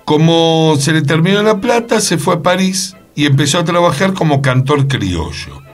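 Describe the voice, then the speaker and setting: A man's voice: a little high, animated, moderate pace, soft background music. A man with a Rioplatense Argentine accent, co-hosting radio and telling anecdotes in a casual chat style. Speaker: radio co-host.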